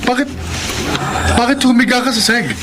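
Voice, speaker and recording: An actor playing a meek, polite male office worker in a Filipino radio drama, expressive and hesitant. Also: very high voice, brisk, heavy background noise. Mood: frightened.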